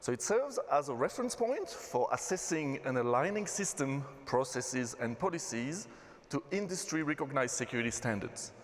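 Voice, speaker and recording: A man from Belgium with a Belgian accent, giving a relaxed conference talk as a systems engineer. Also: medium pitch, normal speed. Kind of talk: conference presentation. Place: conference hall. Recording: reverberant hall.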